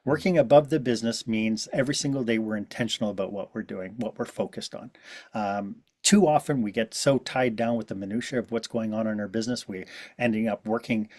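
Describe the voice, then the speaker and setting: A man's voice: mid-range voice, darkish tone. A man from Quebec, a business consultant who is a guest on a podcast, speaking earnestly and with emphasis in casual conversation. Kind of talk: casual interview.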